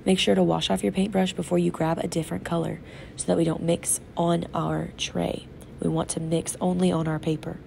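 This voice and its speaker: A sick, congested woman teacher talks young children through an art project step by step, patient and instructive. Her voice is low and heavily gravelly, with a dark, muffled tone. A faint hum sits behind her. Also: little pitch movement, a little fast. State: sick, congested and sore-throated. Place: classroom table. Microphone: off-camera voice over an overhead tabletop camera.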